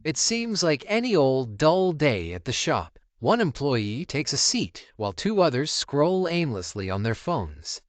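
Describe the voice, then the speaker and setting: A man's voice: on the high side, sing-song, unhurried, hardly any pauses, no echo. A man reading scripted narration for a video compilation.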